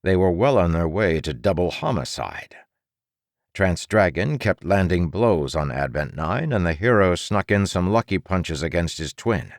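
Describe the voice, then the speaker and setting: A man narrating fiction for an audiobook in a dramatic, measured manner. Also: sits low, expressive, no room sound, studio quality.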